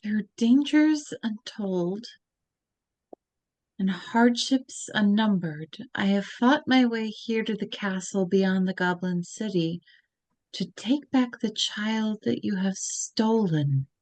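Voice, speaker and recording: A woman's voice: medium pitch, slow, darkish tone, no echo, lightly acted. A woman in an online dramatic reading playing a determined teenage girl on a rescue quest, speaking earnestly and urgently. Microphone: video call.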